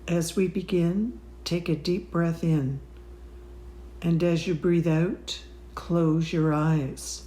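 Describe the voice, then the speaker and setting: A woman narrates a guided meditation at a very unhurried pace. Her tone is soft-edged and mellow, with measured, soothing phrasing and long pauses. The sound is roomy, with a noticeable echo around the voice. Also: on the low side, fairly even intonation.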